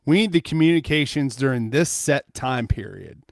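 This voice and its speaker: A man's voice: medium pitch, lively intonation, normal speed, creaky, no room sound, clean studio sound. A man chatting casually as a panelist on a stream, with a joking, wry manner. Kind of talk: casual chat.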